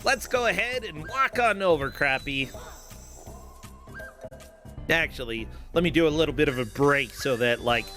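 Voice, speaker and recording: A man's voice: on the high side, lively intonation, normal speed, long pauses, sharp tone, soft background music. A man hosting a game tournament stream, chatting casually with a player and laughing often.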